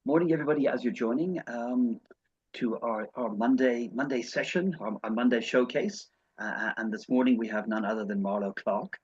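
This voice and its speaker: A man hosting an online session, introducing the guest speaker in a halting way with many restarts. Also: medium pitch, darkish tone, slightly rough, lo-fi sound.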